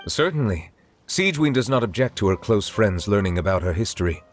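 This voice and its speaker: An actor playing a stately male chief judge who speaks in a formal, measured, courtly way. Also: fairly low pitch, very animated, faint music behind.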